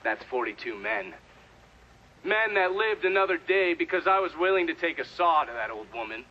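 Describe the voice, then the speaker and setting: A man's voice: a little high, sing-song, moderate pace, muffled recording. An American man acting a hard, bitter, middle-aged Vietnam veteran giving confrontational, profane testimony in a game cutscene. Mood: menacing, taunting. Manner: profane, confrontational, storytelling at length. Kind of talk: dramatic game cutscene monologue.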